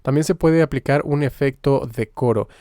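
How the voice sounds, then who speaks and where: A man giving an informal step-by-step software tutorial as the instructor, in a halting, explanatory manner. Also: medium pitch, no room sound.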